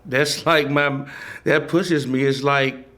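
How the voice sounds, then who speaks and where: A man's voice: medium pitch, fairly even intonation, takes it slowly, fairly bright tone. A man in his forties, a playwright guest on a podcast, talking loosely and emphatically with lots of "you know" and easy laughs. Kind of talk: casual conversation.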